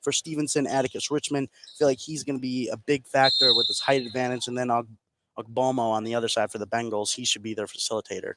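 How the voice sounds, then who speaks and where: A man's voice: medium pitch, steady tone, fast talker. A man giving casual sports analysis as a color commentator on a game broadcast. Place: indoor high school gym. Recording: faint gym noise behind voice.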